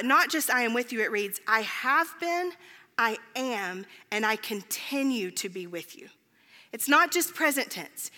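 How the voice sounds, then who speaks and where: Bright-voiced woman preaching in a reverberant hall. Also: on the high side. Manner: self-deprecating, informal. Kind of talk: conversational sermon. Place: large reverberant room, speaking to a congregation.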